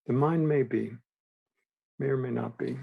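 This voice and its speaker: A man guiding a meditation as a teacher, with gentle, unhurried instruction and frequent pauses. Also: mid-range voice, vocal fry, husky, phone-quality sound. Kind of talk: guided meditation.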